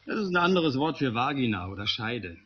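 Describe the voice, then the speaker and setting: A man's voice: mid-range voice, big pitch swings, a little fast, breathy edge, lo-fi sound. A man playing an easygoing, joking father in a scripted audio play. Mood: relaxed, explaining. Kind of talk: scripted radio play dialogue.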